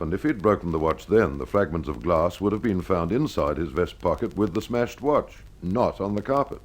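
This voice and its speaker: A man narrating a scripted old-time radio detective story as its host, in a measured, dramatic storytelling manner. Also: sits low.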